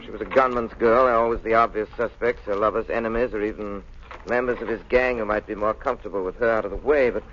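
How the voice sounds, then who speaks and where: An actor playing a cultured, analytical gentleman detective in a 1930s radio drama. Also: lowish voice, fairly even intonation, quick pace, lightly acted. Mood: thoughtful, grave. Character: suave, analytical gentleman sleuth, calm and cultured. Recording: dull, hissy 1930s radio recording.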